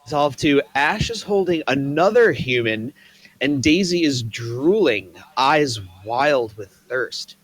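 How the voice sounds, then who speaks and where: A man reading the stage directions aloud at a table read in a plain, even way. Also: medium pitch, takes it slowly, rough recording.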